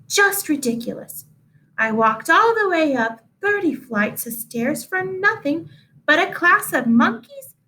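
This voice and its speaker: A woman's voice: extremely high pitch, big pitch swings, measured pace, pushed and bright, pitched above the performer's own voice, heavily acted, poor audio. A woman voicing a nice but flustered schoolteacher in a storybook, exclaiming emphatically. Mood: incredulous.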